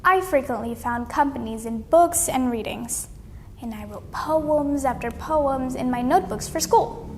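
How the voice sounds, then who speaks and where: A young woman reads a storybook aloud in a very high voice. Her phrasing is slow, deliberate and dramatic, heard with long hall reverb and some faint noise. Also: darkish tone. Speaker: read-aloud competition contestant. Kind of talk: expressive storybook read-aloud.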